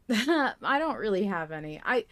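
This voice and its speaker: A woman's voice: mid-range voice, very animated, a little slow, a bit bright. A woman hosting a fan discussion podcast in a casual, conversational style. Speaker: podcast host.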